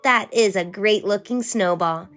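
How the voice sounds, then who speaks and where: A woman's voice: medium pitch, unhurried, fairly bright tone, faint music behind, lightly acted. A woman voicing a proud big-sister girl who teaches in a confident, instructive manner. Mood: teaching.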